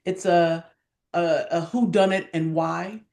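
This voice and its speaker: A woman's voice: lowish voice, steady tone, slow pace, darkish tone. Woman in a roomy, echoey recording. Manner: enthusiastic. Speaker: interview guest, theater director. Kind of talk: casual promotional interview chat.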